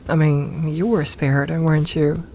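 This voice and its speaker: A woman playing an imperious serpent queen in an ASMR audio roleplay, speaking formally and with measured dignity, commanding yet tender toward her companion. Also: low-pitched, flat delivery, darkish tone. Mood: stern, proud. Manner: regal, formal, measured speech. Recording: ambient noise behind the voice.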